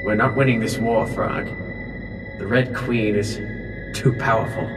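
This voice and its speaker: An actor playing a scornful, intimidating compound leader, accusing and sardonic, in a sci-fi audio drama. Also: male voice, on the low side, steady tone, unhurried, creaky. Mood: grim. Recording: echoey, far, under loud music.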